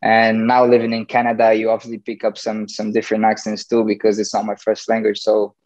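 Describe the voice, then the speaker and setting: A man's voice: lowish voice, monotone, fairly quick, darkish tone. A young man in his twenties from Brazil, speaking English with a Brazilian accent touched by northern English. He talks loosely and chattily on a video call from home, full of "like" and "you know". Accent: Brazilian with a northern English tinge. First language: Portuguese. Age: 20s. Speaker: footballer, interview guest. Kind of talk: casual interview over video call. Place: small room at home, plain wall. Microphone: laptop webcam video call. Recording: dull lo-fi video call audio.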